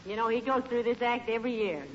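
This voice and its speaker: A woman's voice: on the high side, quick pace, natural voice. A woman with an American accent playing a patient, wry middle-aged wife with a dry teasing manner in a 1940s radio comedy. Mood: wry, amused. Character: patient, wry wife who gently needles her husband. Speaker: comedy co-lead actor. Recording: dull, hissy old-time radio recording.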